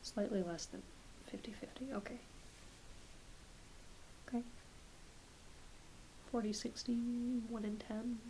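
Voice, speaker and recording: A soft-spoken woman, often dropping into a whisper, gently playing a reassuring doctor in an ASMR roleplay. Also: medium pitch, fairly even intonation, slow, pauses often, low-quality recording. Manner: gentle, reassuring, hesitant questioning. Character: kindly, attentive doctor examining a patient. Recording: hissy close home recording.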